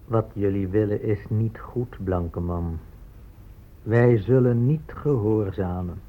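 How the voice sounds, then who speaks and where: Slow, husky, proud warrior. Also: male voice, fairly low pitch. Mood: firm, dignified. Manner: measured, deliberate. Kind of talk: radio drama monologue. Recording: muffled, narrow-band old radio recording.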